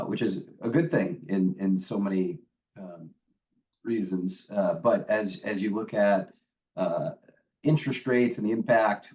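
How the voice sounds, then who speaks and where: A man with a cold, his voice deeper than usual, giving a macro update and reading figures off slides in a webinar. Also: a little mellow, far from the mic. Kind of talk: webinar presentation.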